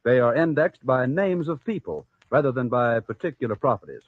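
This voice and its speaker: An American man delivers scripted commercial narration in a formal, measured 1940s radio manner. His voice is mid-pitched and very dry, on a tinny narrow-band vintage recording. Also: animated, no room sound. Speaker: program announcer and sponsor spokesman.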